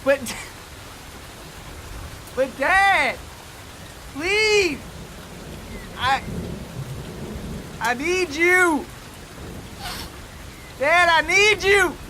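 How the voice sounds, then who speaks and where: A man voicing a timid, desperate young boy who whines and pleads haltingly in an audio story. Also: lively intonation, pushed and bright, a little hiss or hum, full character voice. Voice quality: high-pitched, whining. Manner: halting, repeating himself.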